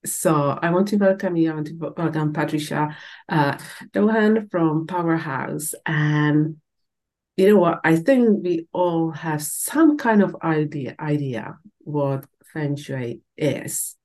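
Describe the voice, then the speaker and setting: A woman's voice: low-pitched, slow pace, a little mellow. A woman from the Czech Republic with a Czech accent, hosting a podcast in a casual, conversational way. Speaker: podcast host.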